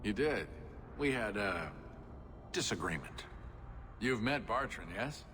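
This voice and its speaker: A man's voice: on the low side, lively intonation, takes it slowly, a bit bright. A man voicing a wry, persuasive dwarf rogue deal-maker in a video game. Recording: reverberant hall, noticeable ambient noise.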